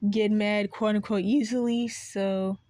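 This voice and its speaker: A woman speaks at a middle pitch in a loose, unscripted monologue. She circles back on herself, trails off, and restarts her sentences, with her voice cracking at times. The room sound is dry, with almost no echo. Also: fairly even intonation, unhurried, warm tone. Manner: rambling, loses her train of thought, restarts. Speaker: video creator.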